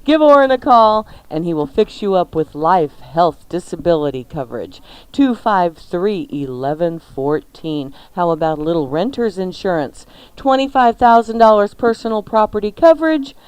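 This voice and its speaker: A woman's voice: on the low side, lively intonation, normal speed, clear, resonant tone, dry recording, poor audio. A woman co-hosting a radio show, playful and chatty, mixing casual banter with live ad reads. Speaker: radio cooking show co-host.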